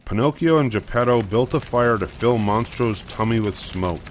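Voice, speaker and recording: A man's voice: mid-range voice, expressive, normal speed, slight background noise. An older man narrating a children's storybook from a script.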